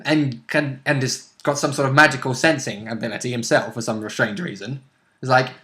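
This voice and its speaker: A man's voice: mid-range voice, fairly even intonation, moderate pace, sharp tone. A man chatting casually as a player about a tabletop role-playing game, blunt, opinionated and casually sweary.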